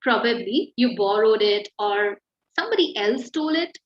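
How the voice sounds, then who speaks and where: A woman's voice: medium pitch, lively intonation, moderate pace, a little hiss or hum. A young adult woman, teaching an online writing class and explaining patiently with examples. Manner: encouraging, explains with examples and fillers. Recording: echoey, slightly dull call audio.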